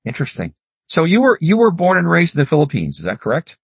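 A man hosting an interview, speaking in a relaxed, conversational way and asking questions. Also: a little high, fast talker, creaky, slightly rough, no echo. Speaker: host.

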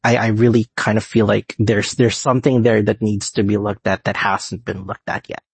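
A man in his late thirties with a Filipino accent, answering interview questions in an earnest, halting way. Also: lowish voice, level tone, gravelly, breathy edge, no echo. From Philippines. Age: late 30s. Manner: earnest, halting, restarts often. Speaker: guest researcher.

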